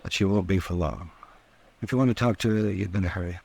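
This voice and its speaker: An actor playing a somber, plain-spoken frontier town doctor with a gravelly voice in an old-time radio western. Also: male voice, deep voice, quick pace, a little mellow, full character voice. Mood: urgent, somber.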